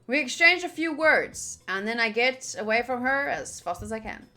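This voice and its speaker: A woman hosting a visual novel playthrough, reading the narration aloud and adding chatty, expressive asides. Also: fairly high pitch, normal speed. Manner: chatty, expressive, reacting fondly to the game. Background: background music.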